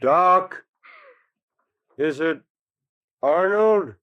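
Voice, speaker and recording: An actor playing a homespun, obliging, avuncular judge in a 1940s radio horror drama. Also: male voice, high-pitched, animated, drawn-out words, no room sound, lo-fi sound, heavily acted. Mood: startled. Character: folksy, obliging small-time male judge, kindly.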